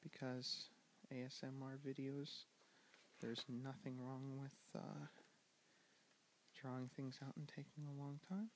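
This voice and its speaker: A young man with a soft, gentle voice teaching a board game in a soft-spoken ASMR style, slipping in and out of whispers and pausing with ums. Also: medium pitch, fairly even intonation, slight background noise, low-quality recording. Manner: drifting in and out of whisper, hesitant with many ums. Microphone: handheld camera mic.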